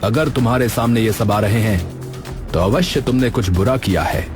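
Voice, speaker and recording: A man's voice: mid-range voice, moderate pace, music in the background, lightly acted. A man playing a kind, polite young food seller in a cartoon story, speaking earnestly and reassuringly. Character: kind, polite young rajma-rice seller helping others. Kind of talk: Hindi cartoon dubbing.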